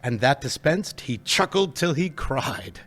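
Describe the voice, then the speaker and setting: A man narrating a live stage radio play in a measured storytelling way. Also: medium pitch, sing-song, fairly bright tone.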